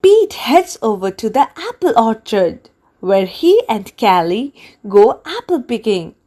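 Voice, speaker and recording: A woman reading a children's storybook aloud in an expressive, deliberate way meant for young listeners. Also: on the high side. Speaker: children's book reader.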